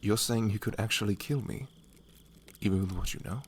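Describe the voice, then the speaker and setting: Guy doing honorable young knight, low voice, kinda stiff and halting, fantasy roleplay with faint ambience behind. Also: a little hiss or hum, close to an everyday voice. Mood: wary. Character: proud, earnest young male knight, honor-bound, easily flustered. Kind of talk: ASMR fantasy audio roleplay.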